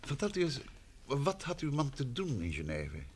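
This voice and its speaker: An actor playing a polite, probing gentleman investigator in a vintage radio play, patient and attentive. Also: mid-range voice, huge pitch swings, slightly rough. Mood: curious, attentive. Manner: polite, questioning, measured.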